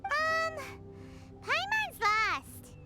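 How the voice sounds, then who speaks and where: A woman voicing a tiny childlike fairy companion in an anime game, squeaky, excitable and exclamatory, with music behind her. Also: very slow, full character voice. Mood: confused. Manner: exclamatory, refers to self in third person.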